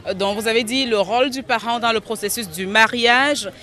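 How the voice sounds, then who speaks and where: Male interviewer for a faith show: high pitch, crisp bright tone, measured and polite questioning.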